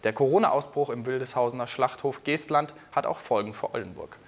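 A man's voice: medium pitch, brisk. A young man presenting scripted local news in a studio. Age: young adult. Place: indoor TV studio.

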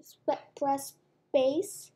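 A woman's voice: sits high, fairly even intonation, drawn-out words, warm tone. A young girl chatting playfully as she plays a restaurant game at home, talking through each move. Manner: playful, chatty, narrating her own moves. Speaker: gamer. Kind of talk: casual gameplay chat. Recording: faint background noise with mouse clicks.

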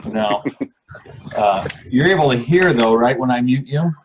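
A man leading an online group discussion over a video call, speaking haltingly with pauses mid-sentence. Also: medium pitch, lively intonation, a little hiss or hum, low-quality recording. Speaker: class leader.

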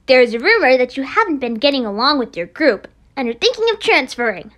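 A woman's voice: high-pitched, big pitch swings, a little fast, a bit bright, pitched below the performer's own voice. A woman voicing a brash, hot-tempered teenage girl in an anime game, blunt and scolding. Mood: demanding. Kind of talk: amateur anime game voice acting.

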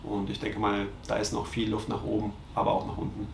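A man in his thirties, a travel vlogger, calmly going through trip costs to a camera in a bedroom, glancing at his notes. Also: on the low side, a little fast, vocal fry, roomy sound, a little hiss or hum. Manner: matter-of-fact, reads figures from notes. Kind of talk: scripted cost breakdown to camera. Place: bedroom with mosquito net, indoors.

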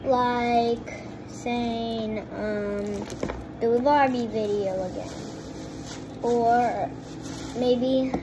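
A young girl rambles to her viewers from a home kitchen table, often stopping and restarting her sentences. Her voice sits a little high and moves slowly. The phone recording carries clear background noise from the room. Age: child. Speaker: kid vlogger. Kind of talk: casual chat to camera. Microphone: phone propped on the table.

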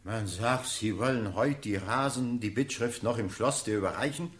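A man's voice: fairly low pitch, normal speed, a bit bright, lightly acted. An actor playing a loyal officer who reports to his lord in earnest, formal verse, in a classical radio drama. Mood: grave, urgent.

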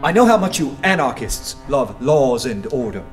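An actor playing a witty, skeptical poet in a radio play, urbane and ironic. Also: male voice, medium pitch, very animated, moderate pace, soft background music, close to an everyday voice. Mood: teasing, probing. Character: witty skeptical male poet, dryly polite and teasing.

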